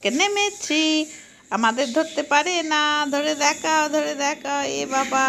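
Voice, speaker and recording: An impatient young playmate, voiced by a woman in a doll story, chattering and urging in a high voice. The recording has faint background noise. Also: animated, measured pace, creaky, fairly bright tone, full character voice. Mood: playful taunting. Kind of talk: doll-play story voice acting.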